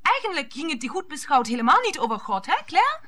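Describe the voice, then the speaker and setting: Sweet naive mistress, very high bright voice, gushing, earnest, radio drama. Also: female voice, sing-song, brisk, full character voice. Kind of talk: radio play acting.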